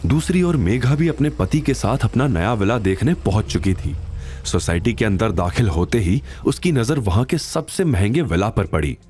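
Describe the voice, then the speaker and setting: A man narrating an audio drama in a scripted, dramatic style with expressive, suspenseful delivery. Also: lowish voice, normal speed.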